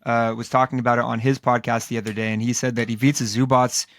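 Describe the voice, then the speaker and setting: Hoarse male podcast co-host, rambling and filler-heavy, dry close mic. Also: mid-range voice, flat delivery, fast, a bit bright, no room sound. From Los Angeles area, United States. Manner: loose, rambling, with many fillers and restarts. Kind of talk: casual sports podcast talk.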